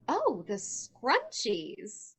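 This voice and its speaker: A woman's voice: on the high side, huge pitch swings, very slow, fairly bright tone, husky, full character voice. A woman playing a haughty, formal fashion-snob former sorority president in a dramatic reading, with a poised, imperious manner. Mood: disdainful.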